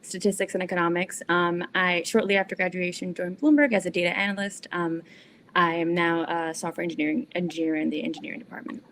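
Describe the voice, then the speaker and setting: Fast-talking woman with a lower voice. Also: steady tone, a bit bright, slight background noise. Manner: quick, halting, many "um"s. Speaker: software engineer, panelist. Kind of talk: casual panel introduction. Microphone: video call.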